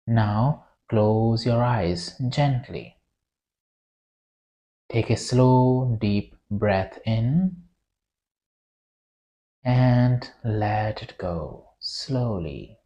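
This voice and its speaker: A meditation guide leading a yoga nidra relaxation in a soothing, deliberate way, with long pauses between short phrases. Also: medium pitch, mellow, lo-fi sound.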